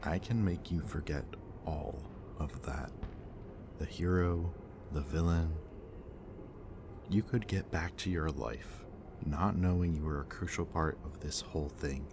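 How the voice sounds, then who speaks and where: A man playing a bitter, remorseful villain in an audio roleplay, confessing in a low, intimate voice that sometimes drops to a whisper. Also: a little slow. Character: remorseful bitter male villain, young adult. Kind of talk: audio roleplay addressed to the listener. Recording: steady ambient background noise.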